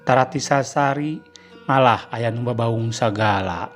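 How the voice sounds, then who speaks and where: A man's voice: measured pace, soft background music. A man with a Sundanese accent narrating a spooky radio tale in a dramatic, rising storytelling manner. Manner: dramatic, rising pitch on suspense.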